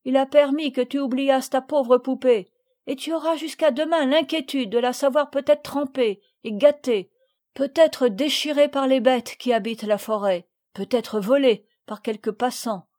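Brief: stern yet tender mother, read by a woman in a storybook, measured and firm. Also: high-pitched, darkish tone, pitched above the performer's own voice, lightly acted. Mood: reproving. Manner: firm, reproving, then tender. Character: gentle, moralizing mother of a girl. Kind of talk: audiobook reading.

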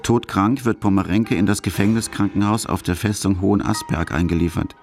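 A man's voice: fairly low pitch, fairly even intonation, a little fast, over music, natural voice. A man narrating a radio documentary in a sober, scripted manner, as a factual reporter of a criminal case.

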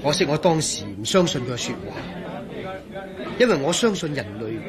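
Radio drama support: earnest engineer, Hong Kong Cantonese, slightly high voice, very lively and emphatic. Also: male voice, a little fast, music in the background, a crowd in the background. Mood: regretful. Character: earnest, regretful male engineer in charge of waterworks.

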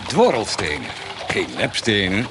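A wandering charlatan in a radio drama, speaks low with animated swings. He is gallant yet evasive, amid noisy ambience. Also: unhurried. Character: smooth-talking wandering male apothecary and charlatan, gallant, evasive. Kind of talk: radio play acting.

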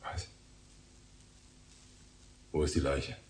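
An actor playing a world-weary middle-aged police detective in a radio crime drama, terse and somber. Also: male voice, drawn-out words. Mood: grim, terse. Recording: noisy ambience, vehicle sounds.

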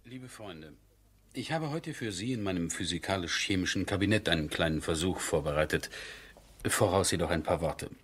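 Low, husky, courteous captain. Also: male voice, animated, a bit bright, full character voice. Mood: lecturing. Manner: measured, formal, precise. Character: earnest, courteous military captain with a scientific bent. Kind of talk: 1970s German radio drama acting.

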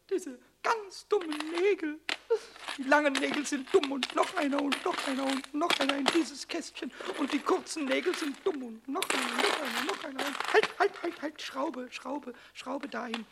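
A tiny, impish kobold with a very high, squeaky, childlike voice. He stammers, whines and brags with theatrical exaggeration, in the manner of a children's radio play. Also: expressive, normal speed, very noisy. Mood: grumbling, whining. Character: cheeky little male kobold, childish, whiny, boastful.

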